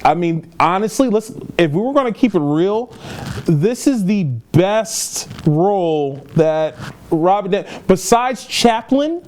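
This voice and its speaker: A man's voice: sits high, expressive, takes it slowly, a little mellow. A man co-hosting a casual film review chat, talking in a rambling, emphatic way and repeating himself. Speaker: film podcast co-host.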